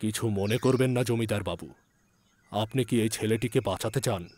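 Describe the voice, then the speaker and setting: A man playing an earnest, devoted village physician who speaks in a deliberate, pleading way in a dramatised story. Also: lowish voice, a little mellow, slight background noise, close to an everyday voice. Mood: grave, confiding.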